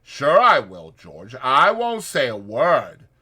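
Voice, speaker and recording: A man's voice: mid-range voice, huge pitch swings, bright, forceful tone, husky, pitched above the performer's own voice, exaggerated voice. A man voicing a timid, simple-minded, childlike ranch hand with pleading rural American speech in a dramatized audiobook. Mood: eager, confused. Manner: pleading, slow-witted, rural American dialect.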